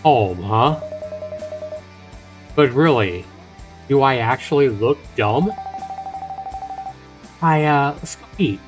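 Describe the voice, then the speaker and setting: A middle-aged man hosts a game livestream, reading story text aloud at a slow, unhurried pace. He stumbles and rereads now and then and drops in casual asides to his viewers. Faint music plays behind his medium-pitched voice. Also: expressive. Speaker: livestream host.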